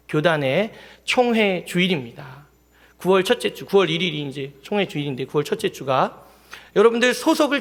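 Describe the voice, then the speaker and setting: A man preaching a Sunday sermon as pastor, in a lively manner, often asking the congregation questions. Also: high-pitched. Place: church sanctuary. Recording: long hall reverb in a church.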